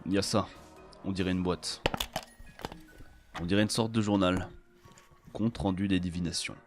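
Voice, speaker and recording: A man's voice: low-pitched, steady tone, normal speed, music in the background, lightly acted. A man playing a cynical, curt adventurer in a French audio drama, with a dry, scolding, sardonic manner. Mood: dry, matter-of-fact. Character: cynical, curt, grudging male adventurer, sharp-tongued loyal friend. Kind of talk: French audio fiction acting.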